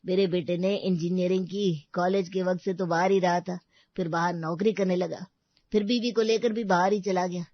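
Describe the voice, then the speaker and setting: Woman voicing a polite older uncle, low-pitched. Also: fairly even intonation, normal speed, no room sound, highs cut off, lightly acted. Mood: worried, explaining. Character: polite, considerate elderly uncle-in-law, gently self-effacing.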